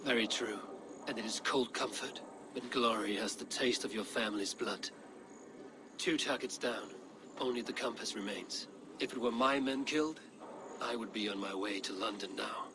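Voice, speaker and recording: A man's voice: on the low side, moderate pace, natural voice. Man acting a grave Viking warrior over background noise. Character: proud, battle-hardened Viking warrior, blunt and poetic. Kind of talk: dramatic video game cutscene acting.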